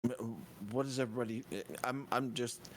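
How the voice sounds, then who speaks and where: A man's voice: medium pitch, little pitch movement, brisk, a little hiss or hum. A man talking casually as a player in a tabletop game.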